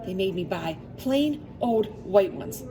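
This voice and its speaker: A woman's voice: medium pitch, expressive, takes it slowly, crowd noise. A woman reading a picture book aloud to young children, expressively, with asides and questions to her listeners.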